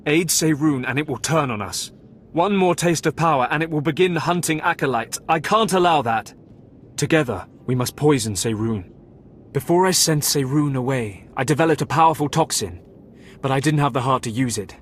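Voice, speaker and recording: An actor playing a remorseful keeper at a Sith academy, speaking in a measured, somber way. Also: male voice, a little high, expressive, slight background noise, close to an everyday voice. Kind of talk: video game voice acting.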